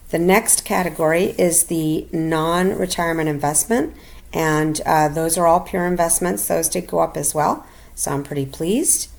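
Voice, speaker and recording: Slightly raspy, gravelly middle-aged woman. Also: lowish voice. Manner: halting, many ums and restarts. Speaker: personal finance vlogger. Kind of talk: casual voiceover narration over a screen recording. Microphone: home voiceover mic.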